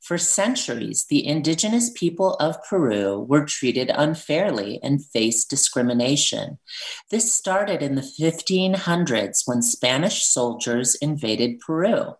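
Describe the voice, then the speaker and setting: Very low-voiced woman author. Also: sing-song, measured pace, low-quality recording. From Peruvian family, living in Arizona. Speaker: children's book author. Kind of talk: interview and book reading.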